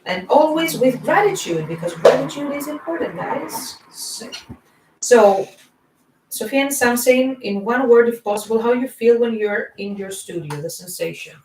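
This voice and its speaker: A woman's voice: fairly high pitch, animated, normal speed, crowd noise. A woman with a foreign accent chatting casually on a livestream, rambling with lots of fillers. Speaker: band singer and co-host. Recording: roomy sound from a distant mic.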